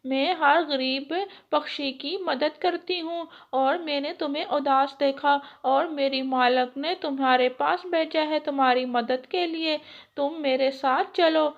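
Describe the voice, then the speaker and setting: A woman's voice: sits high, fairly even intonation, unhurried, gravelly, lo-fi sound. A woman voicing a kind, helpful fairy in a children's cartoon story, explaining things in a reassuring way. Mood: kindly.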